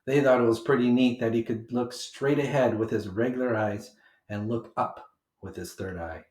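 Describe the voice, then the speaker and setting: A man reading a children's picture book aloud with animated, emphatic delivery for young listeners. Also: medium pitch, normal speed. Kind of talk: expressive children's read-aloud narration. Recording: roomy homemade recording.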